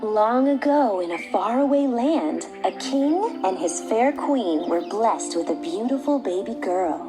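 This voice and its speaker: A woman's voice: fairly high pitch, animated, unhurried. A woman reading a scripted fairy tale for children as a storyteller. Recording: background music.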